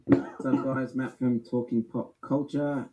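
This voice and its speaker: A man joining a casual comics chat, calm and welcoming, heard a little distant over a call. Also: mid-range voice, measured pace, dull audio. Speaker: podcast guest.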